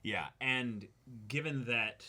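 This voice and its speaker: A man co-hosting a reaction show, giving blunt, casually profane opinions in relaxed conversation. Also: mid-range voice, slow. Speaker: co-host of an anime reaction show. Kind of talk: casual discussion, anime commentary.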